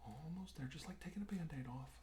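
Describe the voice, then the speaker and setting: A man playing a calm, reassuring doctor in a soft-spoken, often whispered ASMR medical roleplay, talking slowly and gently. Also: on the high side, roomy sound, slight background noise, close to an everyday voice. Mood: soothing, attentive. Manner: soothing, hushed, slow and gentle, often whispering. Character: calm, reassuring male doctor treating an alien-abduction patient.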